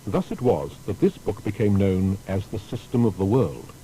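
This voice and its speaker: A man narrating a scripted historical documentary in a formal storytelling manner with deliberate emphasis. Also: lively intonation, dark tone, poor audio. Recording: faint background noise with rumble.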